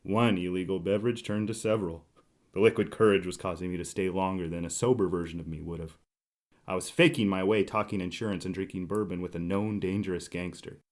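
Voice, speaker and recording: A man's voice: low voice, fairly even intonation, a little fast, a little mellow, close to an everyday voice. A man playing a wry, brooding 1920s private detective, narrating in a scripted noir radio drama style.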